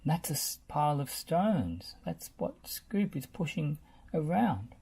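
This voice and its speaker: A man reading a children's storybook aloud in an expressive narrating style. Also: fairly high pitch, slow pace, vocal fry, slightly rough. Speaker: storybook reader.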